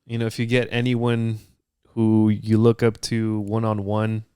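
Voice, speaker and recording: A man with a low, smooth voice speaking in relaxed podcast chat. The sound is dry and close, with no room around it. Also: measured pace, dry recording. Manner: relaxed, brief agreeing interjections. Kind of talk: casual chat.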